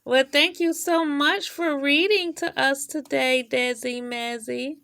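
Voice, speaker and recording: A woman's voice: high-pitched, steady tone, slow, creaky, a bit bright. A woman chatting casually as she coaches and playfully prompts a child. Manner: encouraging, playful teasing. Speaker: adult helper prompting a child reader.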